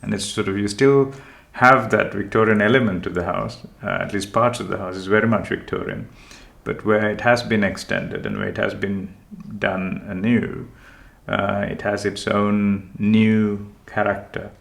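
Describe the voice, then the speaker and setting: A man from Sri Lanka, a homeowner, talking in a slow, thoughtful and hesitant way about his house. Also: lowish voice, steady tone, vocal fry, a bit bright. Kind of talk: reflective conversational chat.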